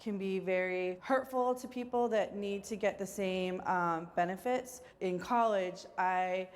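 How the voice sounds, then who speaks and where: A woman's voice: medium pitch, measured pace, in a large hall. A woman in a panel discussion, hesitantly telling a personal story with frequent ums. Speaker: panel participant.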